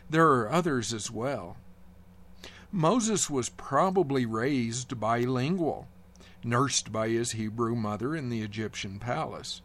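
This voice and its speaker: Slow, measured man reading a devotional. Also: mid-range voice. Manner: measured, reflective, gently wry. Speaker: devotional speaker. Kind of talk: reading a prepared devotional essay.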